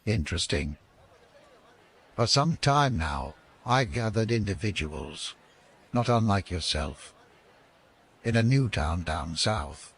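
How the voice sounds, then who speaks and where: A gravelly, mysterious mentor from a fantasy audio game. He speaks slowly and deliberately, with wide theatrical swings in pitch. His calm delivery stays cryptic throughout. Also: exaggerated voice. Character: mysterious calm male mentor recruiting a young adventurer.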